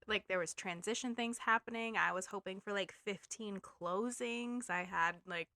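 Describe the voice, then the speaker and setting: A chatty woman from Maine, with a slight accent, co-hosting a casual podcast. Her voice is bright and forceful, with a close, dry sound. She talks in quick bursts full of likes and ums. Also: medium pitch, no room sound. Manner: chatty, filler-heavy, laughs often. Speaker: podcast co-host, real estate agent. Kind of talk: casual chat.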